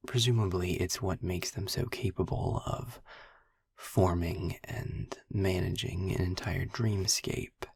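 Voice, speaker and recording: Husky, sardonic demon, acted by a man. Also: dry recording, exaggerated voice. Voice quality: husky, breathy, gravelly low voice. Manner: dry, sardonic, articulate, deliberate. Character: sarcastic, weary male demon, long imprisoned, dryly eloquent. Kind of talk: audio roleplay, intimate slow monologue to the listener.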